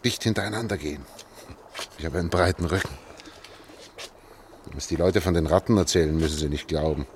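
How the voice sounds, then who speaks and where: Anxious, low-voiced middle-aged engineer. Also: male voice, a little fast, long pauses, darkish tone, slight background noise. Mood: weary, soothing. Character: anxious male engineer leading an escape through sewers. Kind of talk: German radio drama acting.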